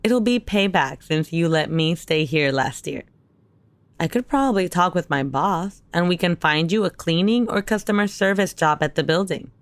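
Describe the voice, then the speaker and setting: Reassuring, lower-voiced young woman. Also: animated, moderate pace, no room sound. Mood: concerned, practical. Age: young adult. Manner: reassuring, casual. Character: supportive, practical young woman, caring best friend. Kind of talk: audio drama acting.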